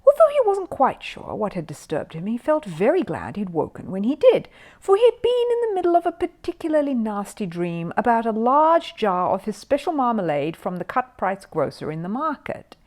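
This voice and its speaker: A woman narrating a children's storybook expressively, with dramatic phrasing and suspenseful pauses. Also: on the high side, wildly swooping pitch, a little mellow. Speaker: storyteller reading a children's book aloud.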